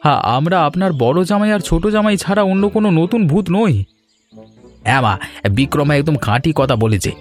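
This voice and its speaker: A man plays an eager young son-in-law in an animated village story. His voice sits fairly high and he speaks with emphatic, respectful excitement. Faint music runs underneath. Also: fairly quick, close to an everyday voice. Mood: indignant explaining. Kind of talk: animated folk-tale dialogue.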